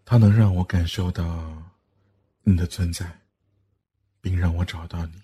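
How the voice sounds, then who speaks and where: A man playing a calm, doting, teasing lover in a Chinese costume audio drama, speaking gently and unhurriedly. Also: sits low, normal speed, breathy edge. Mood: tender.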